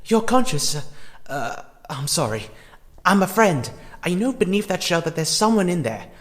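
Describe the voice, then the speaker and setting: A man acting a compassionate, hesitant character in a fan audio drama, earnest and halting in his delivery. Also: sits high, big pitch swings, a bit bright. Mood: startled, caring. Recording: long reverb, music underneath.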